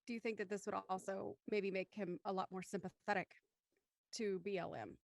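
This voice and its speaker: A woman moderates a panel in a conversational way. Her voice sits at a medium pitch, and the recording is dry, with very little room around it. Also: steady tone, a little fast, creaky, a little mellow, no echo. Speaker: host of a cast discussion.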